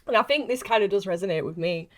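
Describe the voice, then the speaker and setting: A woman's voice: medium pitch, fast talker. A woman in her late twenties, an actress chatting casually on a podcast in a giggly, self-deprecating way. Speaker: actress being interviewed.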